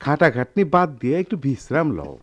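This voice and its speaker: An actor playing a world-weary old village uncle with a rural Bangladeshi accent, plaintive and lamenting, in a radio drama. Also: male voice, medium pitch, huge pitch swings, fast talker. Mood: concerned. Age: older.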